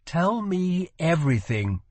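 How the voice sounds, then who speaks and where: Man voicing a petulant young prince, high-pitched. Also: very animated, slow, no echo, full character voice. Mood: wry, dark. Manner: scornful, dramatic, demanding. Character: petulant wounded young prince, playful yet guilt-ridden.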